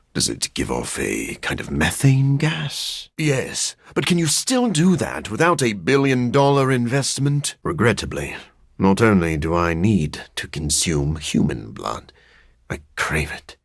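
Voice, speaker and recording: An actor voicing a brooding, sardonic vampire scientist for a video game, dry, erudite and theatrical, with a gravelly, husky voice. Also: male voice, mid-range voice, a bit bright, no echo, full character voice. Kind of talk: video game character voice lines.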